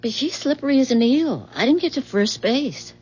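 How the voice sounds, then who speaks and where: An actress playing a curious, plain-spoken, puzzled wife in a 1970s radio drama, on a muffled recording. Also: female voice, high-pitched, expressive, moderate pace, a little mellow, slightly rough. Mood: curious, brisk.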